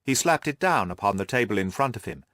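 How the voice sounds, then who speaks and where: A man's voice: lowish voice, expressive, fast talker, a bit bright, dry recording, clean studio sound. A man reading scripted literary narration as an audiobook narrator.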